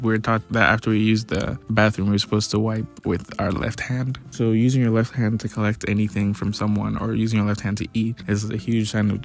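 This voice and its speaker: A young man from Ghana talking casually to his viewers in a relaxed, chatty way. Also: on the low side, creaky. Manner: relaxed, chatty, with many restarts and fillers. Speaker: vlogger. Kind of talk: casual talk to camera.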